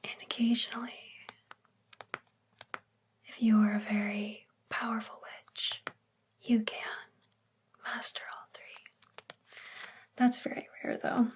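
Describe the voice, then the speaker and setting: A woman playing a sweet, gentle, self-doubting witch librarian in a soft-spoken, confiding ASMR roleplay with a breathy, whispery voice. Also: a little high, flat delivery, slow, pauses often. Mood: wistful, explaining.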